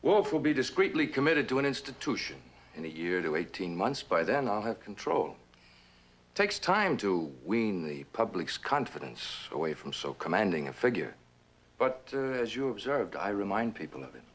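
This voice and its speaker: A very low-voiced, smooth schemer from a vintage suspense drama. He speaks in a measured, condescending way, with wide swings of intonation and a controlling, calculating temper. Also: big pitch swings. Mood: coolly calculating. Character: smooth, coldly calculating male usurper manager, controlling. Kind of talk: old radio and television suspense drama acting.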